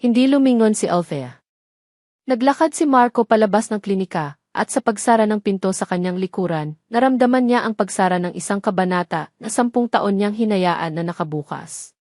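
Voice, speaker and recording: A woman reading a scripted romance story in a measured, solemn, dramatic narrating manner. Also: mid-range voice, a little mellow, no echo, studio quality.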